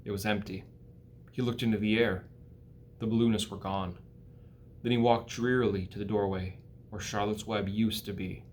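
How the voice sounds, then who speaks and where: A man's voice: on the low side, fairly even intonation, moderate pace, pauses often, a little mellow, a little hiss or hum. A man with an American accent, an educator, narrating a storybook aloud for children. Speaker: school educator reading aloud.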